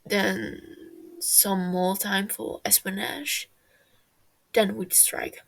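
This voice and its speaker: Woman doing gruff protective guy in a story, curt, grumbly, bit gravelly. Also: medium pitch, steady tone, extremely slow, full character voice, poor audio. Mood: matter-of-fact.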